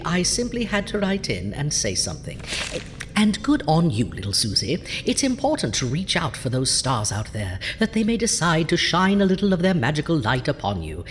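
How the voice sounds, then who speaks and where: A woman's voice: sits low, long reverb tail, slight background noise. A woman playing a vain, pompous ghoulish horror host in a campy audio show, with florid theatrical delivery.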